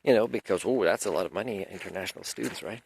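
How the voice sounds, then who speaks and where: A man whose first language is French talks on in a loose, wandering monologue with an aggrieved edge. His voice sits on the low side. He stops now and then to clear his throat. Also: fast talker, a little mellow, a little hiss or hum, rough recording. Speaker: video lecturer. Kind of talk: unscripted monologue.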